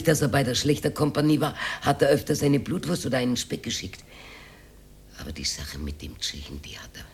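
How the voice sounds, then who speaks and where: A woman's voice: very deep voice, gravelly, exaggerated voice. An actress playing a talkative older Austrian working-class widow who confides her memories in a radio drama, rambling and dropping into whispers. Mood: subdued, regretful. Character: talkative old working-class widow reminiscing about her hard wartime life. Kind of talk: radio drama monologue, naturalistic acting.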